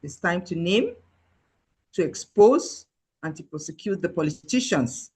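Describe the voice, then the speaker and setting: A man delivering a deliberate, forceful public statement. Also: high voice, sing-song, breathy edge.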